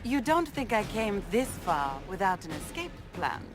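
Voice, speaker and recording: An actress playing a gloating, theatrical villainous duchess in a fantasy video game, grand and mock-courteous. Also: female voice, medium pitch, big pitch swings, measured pace. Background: music.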